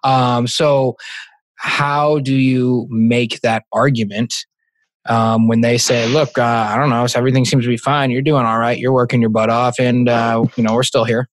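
A man in a casual conversation, laid-back and joking, tossing in quick interjections. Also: medium pitch, little pitch movement, no echo. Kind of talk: casual tech podcast chat.

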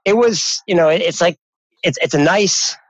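A man's voice: high-pitched, moderate pace, no room sound, poor audio. A man from New Hampshire in casual conversation, rambling with many restarts and repeated words. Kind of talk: casual tech podcast chat.

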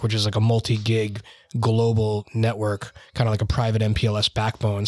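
A man's voice: on the low side, no room sound, studio quality. A man hosting an interview, talking in a chatty, rambling way with many "you know" and "like" fillers. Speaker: podcast host interviewing IT leaders. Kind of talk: conversational interview.

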